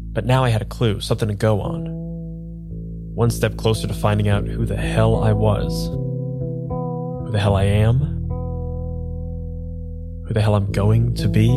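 An actor playing a nervous, hesitant amnesiac man in an improvised radio drama, talking in a halting, understated way. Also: on the low side. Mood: brooding narration. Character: nervous, hesitant amnesiac man having his tarot read.

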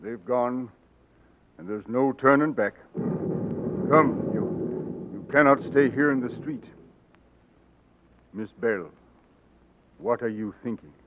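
An actor playing a grave, concerned gentleman in a 1940s radio drama. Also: mid-range voice, takes it slowly, pauses often, lightly acted. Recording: noisy, tinny 1940s radio recording.

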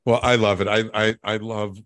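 A man's voice: fairly low pitch, fairly even intonation, normal speed, a bit bright, no room sound. A man hosting a radio talk show, chatting with a guest in an eager, stammering way, often repeating his words. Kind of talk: casual interview chat.

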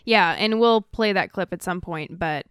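A woman's voice: on the low side, moderate pace, a bit bright, smooth, no echo, studio quality. A woman co-hosting a podcast in casual political chat, laid-back and a little hesitant, easily amused. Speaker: podcast co-host.

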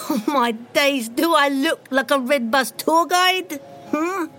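A woman's voice: sits high, slow, sharp tone, soft background music, exaggerated voice. An actress playing a brusque, unhelpful working-class woman at a desk in an audio drama, curt and sardonic.

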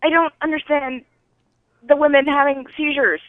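A woman calling in to a podcast over a thin phone line, giving casual movie feedback in a halting, dryly puzzled way. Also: sits high, steady tone, no echo. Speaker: caller to a podcast. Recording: thin, band-limited telephone line.

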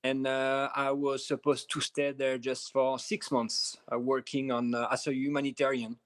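A man from France with a French accent, guesting on a podcast interview and answering in a measured way, pausing often with small fillers. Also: medium pitch, little pitch movement, dry recording. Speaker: film director guest.

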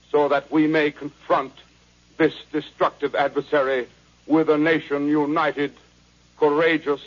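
An actor playing a government secretary who gives a solemn, measured address to the nation. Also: male voice, on the high side. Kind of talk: 1930s radio drama acting. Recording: dull 1930s radio recording.

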